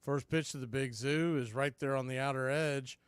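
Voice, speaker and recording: A man's voice: mid-range voice, steady tone, moderate pace, smooth, no echo, clean studio sound. A man doing live play-by-play baseball commentary as a radio host.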